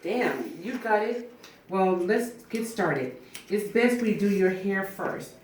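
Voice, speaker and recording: A woman's voice: medium pitch, expressive, slow pace, a little mellow. A woman playing a friendly, chatty female barber in a cold read of a film script. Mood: relaxed, friendly. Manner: casual, plain-spoken, chatty. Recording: very echoey room, distant mic, faint noise.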